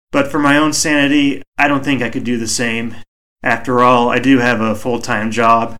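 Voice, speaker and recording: Male solo podcast host, mid-pitched, soothing and measured, home mic. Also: fairly bright tone. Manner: measured, reflective, deliberately slow. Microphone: home microphone.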